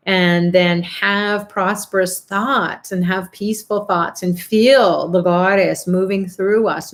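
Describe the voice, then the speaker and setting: A middle-aged woman hosting an online chanting and prayer call, chatting casually, reading out comments and laughing often. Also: fairly low pitch. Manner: upbeat, warm-hearted, laughs often, many fillers. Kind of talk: casual spiritual group chat, reading comments.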